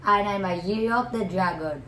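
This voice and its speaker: A woman's voice: mid-range voice, moderate pace, fairly bright tone, echoey room, a little hiss or hum. A woman in her twenties from the Philippines, chatting casually and giggly, with loose non-native phrasing. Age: 20s. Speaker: vlogger's partner. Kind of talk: casual to-camera chat.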